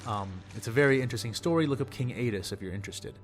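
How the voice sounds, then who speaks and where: A young man, slightly hoarse, explaining fictional lore in a rambling, casual way and repeating words for emphasis. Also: medium pitch, rapid-fire, slight background noise. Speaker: channel host.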